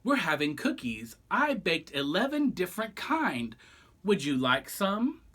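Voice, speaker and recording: A man's voice: high voice, wildly swooping pitch, a little slow, fairly bright tone, pitched above the performer's own voice, exaggerated voice. A man voicing a friendly, welcoming rabbit neighbor in a picture-book read-aloud. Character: hospitable rabbit, friendly cookie-baking neighbor.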